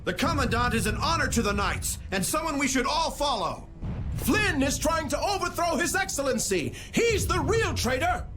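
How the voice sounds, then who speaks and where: An actress playing a zealous young knight who speaks with fervent, indignant loyalty. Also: female voice, high-pitched, lively intonation, moderate pace, music in the background.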